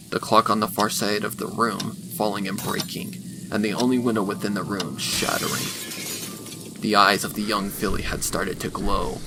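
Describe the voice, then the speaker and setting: A man narrating a dark story from a script in a dramatic style. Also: fairly low pitch, vocal fry. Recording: music behind the voice.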